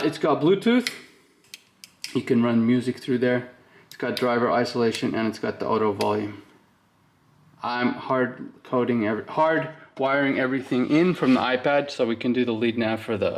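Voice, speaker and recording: A man's voice: mid-range voice, moderate pace. A man giving a casual hands-on walkthrough, thinking aloud informally as he works. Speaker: host of a hands-on wiring walkthrough. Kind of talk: casual workshop explanation while working.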